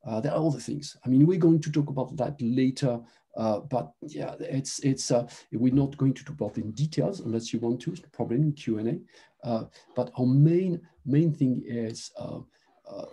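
A middle-aged man from France with a French accent, presenting a webinar over a video call and talking haltingly with many fillers and restarts. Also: mid-range voice, vocal fry, dark tone, breathy edge. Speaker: presenter, mobile engineering manager.

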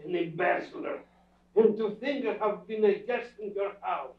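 A man's voice: sits high, moderate pace, natural voice. A man playing an affected, self-pitying freeloading artist in a staged radio comedy, theatrical and wounded. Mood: wounded, uneasy. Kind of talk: staged old-time radio comedy acting. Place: indoor theatre stage, distant camera. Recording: distant, echoey, dull theatre stage recording.

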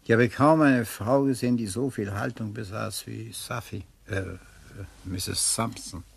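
A man's voice: on the low side, fairly even intonation, unhurried, creaky, a little hiss or hum. A man playing a dignified, formal older family friend in a radio crime drama. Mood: sympathetic, admiring.

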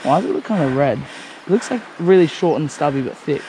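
A young man chatting excitedly while fishing on a creek bank, often repeating himself and exclaiming, recorded on an action camera. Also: a little high, fast, low-quality recording. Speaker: fishing companion. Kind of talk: excited casual chat. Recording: outdoor camera audio with background noise.

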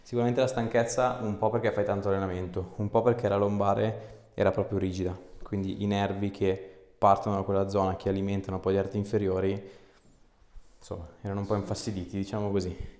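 A man's voice: lowish voice, level tone, fairly quick, a little mellow. A man, a chiropractor coaxing a patient with short, encouraging instructions. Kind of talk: hands-on instructions and casual explanation to a patient. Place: small clinic treatment room. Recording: echoey room, clear background noise.

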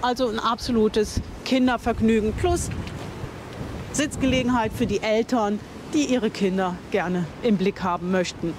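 A woman's voice: on the high side, steady tone, measured pace, background noise. Chatty older woman touring outdoors. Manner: chatty, enthusiastic, thinks aloud and corrects herself. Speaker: vlogger touring a campsite. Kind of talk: casual on-camera tour commentary. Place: outdoors at a campsite playground.

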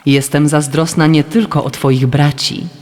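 A man's voice: a little high, steady tone, a little slow, a little mellow, big-room sound, a little hiss or hum, lightly acted. A man voicing a beautiful, jealous queen of the lakes, cold and imperious, in a children's fairy tale. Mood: cold, commanding. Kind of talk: children's storybook reading.

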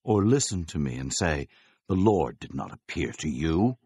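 A man's voice: extremely low pitch, very animated, normal speed, mellow, breathy edge, no room sound, full character voice. An actor playing a humble, doubtful male prophet, hesitant and pleading, in a dramatized scripture reading. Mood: doubtful, questioning.

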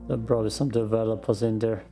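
A man's voice: lowish voice, little pitch movement, fast talker, warm tone, soft background music. A man with an Austrian accent, a native German speaker, giving casual muttering commentary as he plays a game. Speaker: gaming streamer. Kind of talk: casual let's play commentary.

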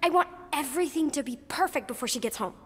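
An actress playing an insistent, emotionally intense young daughter in a video game, childlike and emphatic. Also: female voice, high-pitched, lively intonation, fast, noisy background. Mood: excited, bossy. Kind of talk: dramatic video game cutscene acting. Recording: long hall-like reverb.

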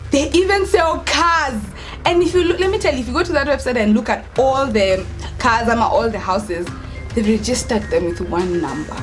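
High-voiced young Kenyan woman chatting emphatically. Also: normal speed, faint music behind. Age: young adult. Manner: emphatic, expressive, repeats words for stress. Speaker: vlogger, storyteller. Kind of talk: casual storytime chat to camera.

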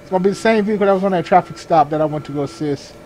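Guy with kinda high voice doing cop roleplay gaming, clipped radio jargon n muttered comments, bit of car noise behind. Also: racing, mellow. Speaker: gamer playing a sheriff's deputy in a police patrol role-play. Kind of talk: improvised police radio call-outs and casual gameplay commentary. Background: game vehicle and ambient sounds.

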